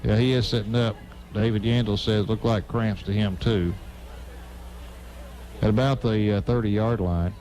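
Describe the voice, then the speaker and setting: A man's voice: on the low side, fairly even intonation, unhurried, pauses often, darkish tone, low-quality recording. A man doing live radio play-by-play commentary of a football game, broadcast from a stadium. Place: outdoor high school football stadium broadcast.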